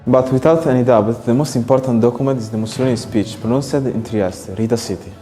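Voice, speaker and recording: A man's voice: mid-range voice, brisk, music in the background. A man with an Italian accent narrating a documentary script in earnest, slightly non-native phrasing.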